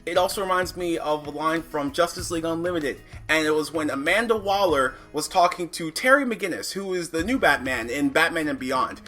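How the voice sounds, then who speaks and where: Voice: medium pitch, fairly quick, hardly any pauses, pushed and bright. A reviewer giving casual, opinionated commentary in a conversational way, thinking aloud with frequent asides. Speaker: comic book reviewer. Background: background music.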